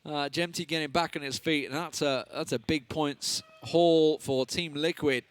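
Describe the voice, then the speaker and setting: A man's voice: a little high, fairly even intonation, moderate pace, clean tone, no echo. A man casting a live esports match on a headset, chatty and analytical, and he laughs easily. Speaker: esports caster.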